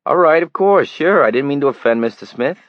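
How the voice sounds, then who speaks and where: A man's voice: fairly high pitch, brisk, dry recording, lo-fi sound, pitched above the performer's own voice, lightly acted. Man doing a nasal Brooklyn tabloid reporter. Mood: smooth pushy. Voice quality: nasal, flat. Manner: pushy, glib salesman patter. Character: brash male tabloid reporter from the city.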